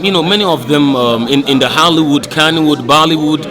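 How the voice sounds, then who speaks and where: A man's voice: on the high side, a little fast. A man from Ghana, talking outdoors in a city in an earnest, repetitive, preaching way, with some joking. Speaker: community member. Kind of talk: casual preaching talk. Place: outdoors in a city among a crowd. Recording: clear crowd noise behind.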